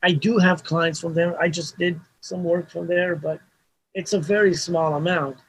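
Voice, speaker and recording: A man's voice: a little high, steady tone, normal speed, a little hiss or hum, low-quality recording. A man, a Hebrew speaker, talking casually as an interview guest, rambling with restarts and frequent "you know" fillers. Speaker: mastering engineer guest.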